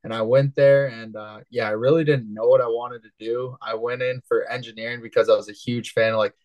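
A man in his twenties from West Michigan, a guest on an interview show telling his story in a relaxed, rambling way with many fillers. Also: mid-range voice, a little fast, dry recording, low-quality recording. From West Michigan, USA. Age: 20s. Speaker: guest, cannabis entrepreneur.

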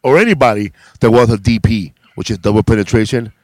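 A male comedian hosting a casual road podcast, teasing his guests in a drawn-out, laid-back way and saying "man" and "bro" a lot. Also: on the low side, no echo.

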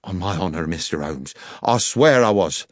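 A man's voice: low voice, animated, normal speed, no echo, clean studio sound. A man voicing an honest, anxious working-class railway guard who answers deferentially and insists on his story. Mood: defensive.

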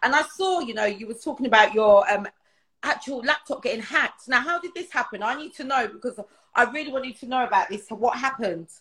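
Casting: effusive woman host, slightly high voice, echoey room, video call. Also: lively intonation, brisk, fairly bright tone. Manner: effusive, repeats words, lots of praise. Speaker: interview host. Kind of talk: casual interview over a video call.

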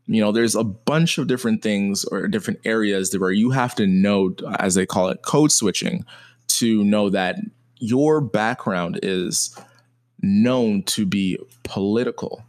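A young adult man speaking in a thoughtful, conversational monologue, often pausing with "you know". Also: fairly low pitch, no echo, clean studio sound. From Jamaican heritage, grew up partly in America. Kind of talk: personal reflective monologue.

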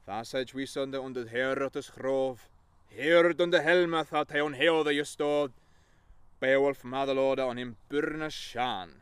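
Measured man reciting verse, clear and resonant. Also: fairly high pitch, no room sound. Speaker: reader of an old poem. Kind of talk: solemn recitation of Old English verse.

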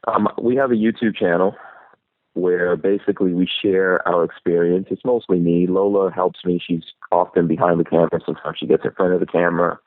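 A man's voice: deep voice, level tone, brisk, no room sound. Man talking over a tinny phone line. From New York City. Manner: easygoing, reflective, rambling with restarts. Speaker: guest, YouTuber. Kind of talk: casual interview chat.

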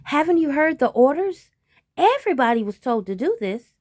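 A woman's voice: high-pitched, sing-song, moderate pace, a little mellow, no room sound, studio quality, pitched above the performer's own voice. A woman voicing a sly male trickster rabbit in a storybook tale, explaining things earnestly with feigned innocence. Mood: feigned earnestness. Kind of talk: storybook character voice.

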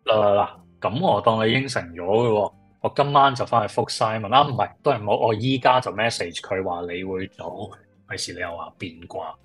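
A man's voice: on the low side, brisk, a bit bright, breathy edge, faint music behind. A man playing a blunt, teasing, easygoing old friend in a radio drama, with a Hong Kong Cantonese accent. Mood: persuasive.

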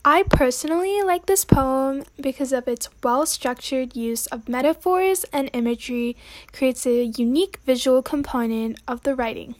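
A woman's voice: high-pitched, normal speed. A young woman hosting a student poetry podcast, reading her commentary in an earnest, read-aloud way. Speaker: podcast host.

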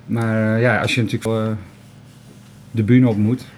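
A man, an organist, talking casually in an interview in a hesitant, halting way. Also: lowish voice, flat delivery, slight background noise. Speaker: Hammond organist.

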